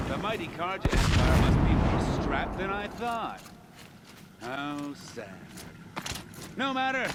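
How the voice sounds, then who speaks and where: An actor playing a sadistic outlaw trap maker in a video game, taunting in a theatrical, mocking way. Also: male voice, sits high, gravelly, very noisy, heavily acted. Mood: mock disappointment, then gloating menace. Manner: theatrical, mocking, playful menace. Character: taunting sadistic male outlaw killer who lays traps. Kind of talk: video game villain acting.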